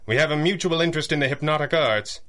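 An actor playing a stern, determined masked crime fighter in a pulp radio drama, with clipped, formal, authoritative speech. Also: male voice, a little high, fast, a bit bright, lightly acted. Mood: intrigued. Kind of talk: 1930s-style pulp radio drama acting.

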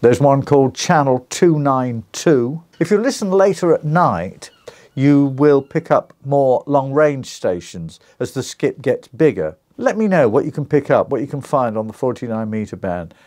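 A man's voice: mid-range voice, animated, normal speed, a little mellow. A British man in his sixties presenting a casual, informative vlog in a chatty, musing way, asking rhetorical questions. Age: 60s. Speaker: YouTube presenter on shortwave radio. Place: small indoor radio den full of vintage sets. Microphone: small clip-on lapel mic.

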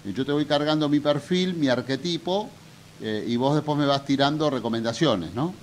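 A man's voice: a little high, moderate pace. An older man with an Argentine accent hosting a radio interview, asking colloquial questions and often restarting them. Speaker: radio host. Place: radio studio. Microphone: studio boom mic with headphones.

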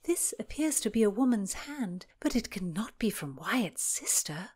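A woman voicing an earnest young gentlewoman in a historical audiobook, with dramatic, drawn-out delivery. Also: mid-range voice, very animated, husky, pitched above the performer's own voice. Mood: ardent, puzzled. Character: young gentlewoman reading love letters aloud, earnest, incredulous. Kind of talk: audiobook character acting.

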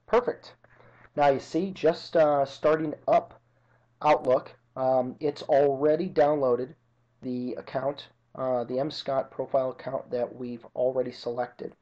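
A man narrating an informal software tutorial as an instructor, explaining each step methodically. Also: mid-range voice, fairly even intonation, measured pace. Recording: dull lo-fi computer screen-recording audio.

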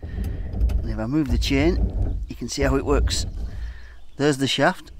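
A man in a garage workshop, calmly talking through how he built his boat step by step, recorded on a handheld phone. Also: mid-range voice, slow, noisy background. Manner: plain, matter-of-fact step-by-step talk. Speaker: hobby boat builder. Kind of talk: casual DIY explanation.

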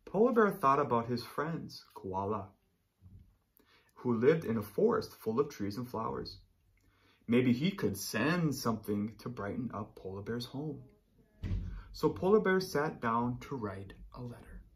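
An animated man in his thirties with a mid-pitched voice that swings up and down freely. He reads a children's storybook like an encouraging teacher, talking straight to his young listeners. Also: a little slow. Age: 30s. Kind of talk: children's storybook read-aloud.

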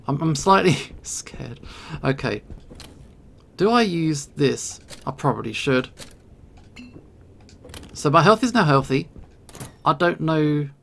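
Higher-pitched man with creaky voice, gaming. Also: animated, fairly bright tone, a little hiss or hum. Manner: thinks aloud in short, halting remarks. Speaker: video game player and host. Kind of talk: casual let's play commentary.

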